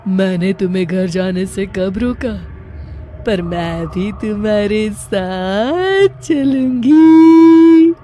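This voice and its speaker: A woman voicing a menacing witch from a storybook cartoon, speaking in a slow, commanding and threatening way. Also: medium pitch, fairly even intonation, lo-fi sound, faint music behind. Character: menacing female witch living in a tree.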